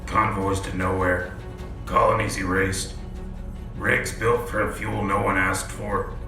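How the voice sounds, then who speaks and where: A man playing a gruff, wary soldier in a sci-fi audio drama, speaking in terse, clipped phrases with a gravelly voice. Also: low-pitched, flat delivery, measured pace. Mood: suspicious. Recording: distant, echoing, music underneath.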